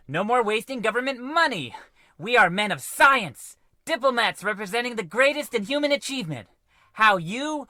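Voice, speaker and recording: A scornful, melodramatic space mutineer voiced by a man in an old-style radio comedy. The voice is very sharp and high, haughty and full of menace, recorded dry. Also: no room sound. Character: indignant, scornful mutinous male space officer.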